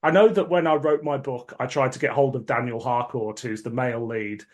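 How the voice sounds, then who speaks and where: A man hosts a casual podcast. His voice sits at an ordinary male pitch, and he talks things through in a measured, conversational manner. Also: steady tone. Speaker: podcast host.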